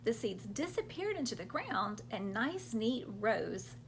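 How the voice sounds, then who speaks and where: A woman's voice: a little high, sing-song, unhurried, slight background noise. A woman hosting a children's storytime, reading picture books aloud expressively and explaining things simply for young listeners.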